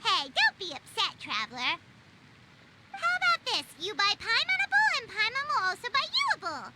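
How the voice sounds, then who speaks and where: A woman voicing a tiny, cheeky, excitable childlike fairy companion in a video game, squeaky and bubbly. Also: normal speed, very noisy, full character voice. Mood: triumphant, excited. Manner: refers to self in third person, bubbly. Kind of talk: English video game voice acting.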